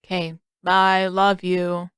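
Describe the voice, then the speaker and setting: A woman's voice: fairly low pitch, monotone, slow pace, fairly bright tone, clean tone, no room sound, studio quality. A woman voicing a feverish, delirious teenage boy in a groggy, grumbling way for an audio story. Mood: groggy, grumpy.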